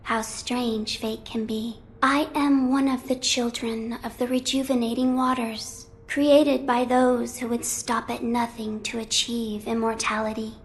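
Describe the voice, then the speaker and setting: An actress playing a serene, solemn young sacred child in a video game, with formal, gentle delivery. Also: female voice, fairly high pitch, flat delivery, unhurried, hardly any pauses, lightly acted. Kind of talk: dramatic video game acting.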